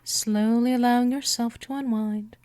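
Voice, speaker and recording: A woman voicing a gentle but dominant, seductive vampire in a scripted hypnosis roleplay, teasing and coaxing, often slipping toward a whisper. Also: a little high, steady tone, moderate pace, no room sound, close to an everyday voice. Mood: soothing, hypnotic.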